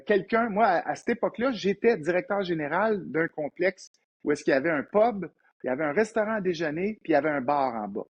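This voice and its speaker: A man in his fifties with a Québécois French accent and a husky, slightly raspy voice set a bit high. He talks as a guest in a relaxed interview and tells animated business anecdotes, often restarting his phrases. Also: muffled recording. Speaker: entrepreneur guest. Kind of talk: storytelling in a casual interview.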